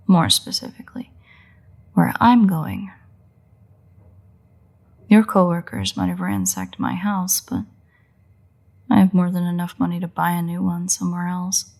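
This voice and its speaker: Woman performing a female vampire, audio roleplay, sardonic, playful menace, gravelly low-end fry, unhurried pauses, secretly soft-hearted. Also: exaggerated voice. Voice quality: husky, creaky, often breathy. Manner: wry, teasing, unhurried delivery with pauses. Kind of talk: scripted roleplay audio drama monologue.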